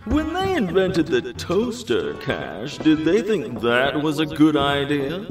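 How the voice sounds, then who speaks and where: A man's voice: fairly high pitch, wildly swooping pitch, takes it slowly, heavily acted. An actor playing a vain, pompous male superhero in a comedic audio drama. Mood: self-assured. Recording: roomy echo with faint music behind.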